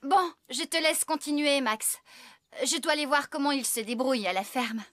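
A high-pitched, cheerful young farm woman from a children's cartoon. She is brisk, bossy and kindly, speaking expressively over a noisy soundtrack. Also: fairly bright tone. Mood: friendly. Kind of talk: French children's cartoon dubbing.

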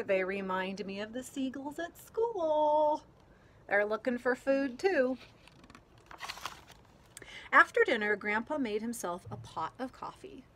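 A woman reading a children's picture book aloud outdoors in a gentle, deliberate way, pausing between phrases. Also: on the high side. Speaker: story reader. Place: outdoors, garden. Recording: clear outdoor background noise.

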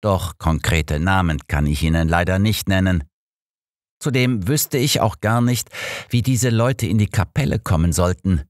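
Audiobook role, grim old clergyman acted by a man: deep, dignified, curt, formal. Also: measured pace, no room sound, studio quality. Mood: defensive, formal. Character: grim elderly pastor, indignant and dignified.

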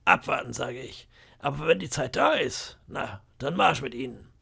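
A man's voice: medium pitch, lively intonation, moderate pace, creaky, sharp tone, breathy edge, full character voice. A man voicing a cunning old pirate cook in an audiobook, wheedling and boastful with flashes of menace. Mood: scheming. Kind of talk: audiobook character voice.

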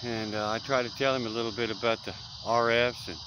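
A man's voice: medium pitch, steady tone, fairly quick, highs cut off. A man, rambling folksy vlog talk outdoors at dusk, recorded on a close-held phone. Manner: rambling, folksy, trails off with fillers. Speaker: vlogger. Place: outdoors in dense brush at dusk. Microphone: phone camera held close. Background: crickets, insects and distant traffic.

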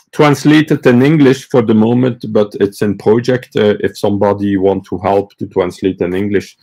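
Slow, gravelly Belgian man with many fillers. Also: lowish voice, a little mellow, poor audio. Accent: Flemish Belgian. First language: French. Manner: hesitant, many fillers and restarts. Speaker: host of a live stream on gardening. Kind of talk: casual live stream talk. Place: small room with bookshelves, indoors.

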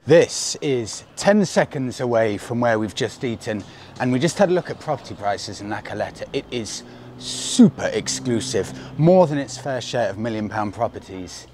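A man's voice: medium pitch, expressive, moderate pace, a little mellow, slight background noise. A man in his thirties chatting enthusiastically to camera on a lapel mic, touring a seaside village and cafe. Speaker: travel vlogger. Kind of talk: casual travel vlog to camera. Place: outdoors on a seaside promenade and in a cafe. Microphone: clip-on lapel mic.

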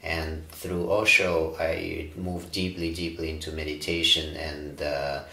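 A man in his forties from Ukraine, a breathwork teacher, answering interview questions in a calm, reflective way with frequent fillers. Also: very deep voice, a little slow, vocal fry. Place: bright studio room, indoors. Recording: roomy studio echo.

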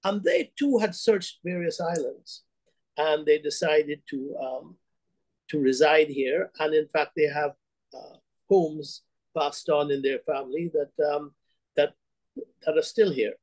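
Older man, from Guyana, fairly high pitch, dry sound, hesitant, video call. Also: darkish tone, dry recording, poor audio. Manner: hesitant, many restarts and fillers, unhurried. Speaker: bank CEO guest. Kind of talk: remote interview, reflective storytelling. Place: home room, indoors. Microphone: webcam on video call.

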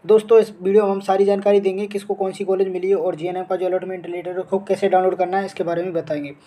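An Indian man explains things step by step as a tutorial host. His voice is mellow and stays within a narrow, flat range. He talks informally, often repeating phrases and checking that the listener follows. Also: high-pitched, very fast. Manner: repetitive, with frequent check-in tags. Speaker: host of an educational update channel. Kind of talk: informal screen-share tutorial. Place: voice-over on a phone screen recording.

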